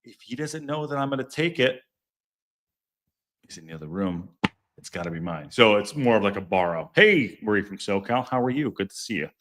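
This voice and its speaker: Rambling man with a deep voice. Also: expressive, a little fast, fairly bright tone, slight background noise. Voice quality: deep, strong voice. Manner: rambling, self-interrupting, stumbles over words; chatty and jokey. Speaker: podcast host. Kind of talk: casual live-stream chat reading viewer comments.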